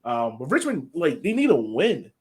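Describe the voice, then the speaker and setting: A man's voice: on the high side, huge pitch swings, moderate pace, a little mellow, slightly rough. A man co-hosting a soccer podcast, chatting casually through analysis and repeating phrases for emphasis. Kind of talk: casual sports analysis chat.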